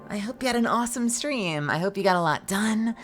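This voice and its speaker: A woman's voice: medium pitch, lively intonation, a little fast, faint music behind. A young woman streaming games live, chatting playfully with her chat in a slightly scratchy voice.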